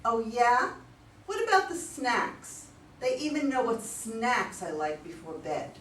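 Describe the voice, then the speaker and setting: A woman's voice: a little high, very animated, a little slow, fairly bright tone. A woman playing an anxious, indignant wife who believes she is watched online, in a staged play reading. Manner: defensive, exclamatory. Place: room at a live readers' theater reading. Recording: echoey room, distant mic, faint noise.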